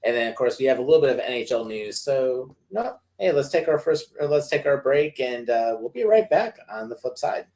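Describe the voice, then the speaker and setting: A man's voice: medium pitch, animated, brisk, few pauses, darkish tone. A man co-hosting a casual sports podcast, rambling with lots of fillers and laughing often. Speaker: podcast co-host.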